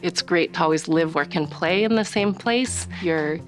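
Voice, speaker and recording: Middle-aged female city director, low voice, measured documentary interview delivery. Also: faint music behind. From US Northwest. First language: Chinese. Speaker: city community development director.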